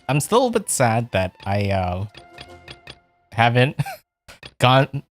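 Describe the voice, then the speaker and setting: A man hosting a casual video game let's play, chatting in a rambling way with fillers and small chuckles. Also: fairly low pitch. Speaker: virtual streamer playing a video game.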